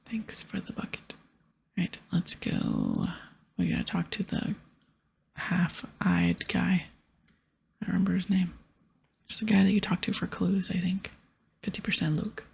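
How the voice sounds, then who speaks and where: A woman whispering softly and breathily in a relaxed murmur as she hosts an ASMR game playthrough, thinking aloud. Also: lowish voice, unhurried, vocal fry, long pauses. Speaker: gaming ASMR host.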